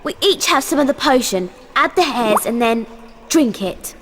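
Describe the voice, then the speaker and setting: High-voiced bossy schoolgirl in reverberant hall. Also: animated, moderate pace, a bit bright, slight background noise. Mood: brisk, determined. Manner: earnest, precise, instructing. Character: bossy, clever schoolgirl witch. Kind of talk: video game voice acting. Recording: long hall reverb, music behind.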